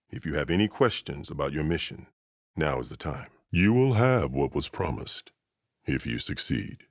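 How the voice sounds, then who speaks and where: Very deep, commanding warrior chief. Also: male voice, no echo, studio quality, heavily acted. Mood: stern, measured. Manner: solemn, formal, authoritative. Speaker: video game character voice actor. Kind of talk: dramatic game dialogue.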